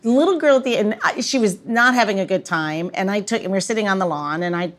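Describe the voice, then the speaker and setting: A woman's voice: medium pitch, quick pace, crowd noise. A woman hosting a podcast, chatting casually in an anecdotal, conversational way. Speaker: podcast host.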